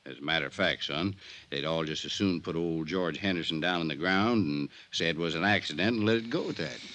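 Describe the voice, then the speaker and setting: An actor playing a folksy, wary older small-town sheriff who drawls deliberately in a 1950s radio drama. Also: male voice, low-pitched, sharp tone. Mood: wary, warning.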